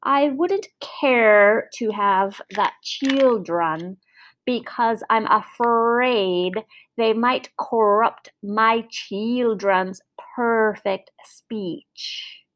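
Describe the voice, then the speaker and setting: Woman putting on a prim, slow, condescending mother. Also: on the high side, a bit bright, rough recording. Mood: smug, condescending. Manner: halting, drawn-out, over-precise enunciation with little ahs. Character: prim, condescending mother lecturing on correct speech.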